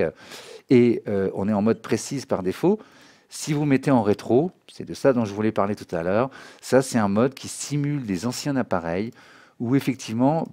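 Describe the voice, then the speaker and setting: A man giving an informal spoken software tutorial in a didactic way, often checking that the listener follows. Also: medium pitch. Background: synthesizer and music demo sounds.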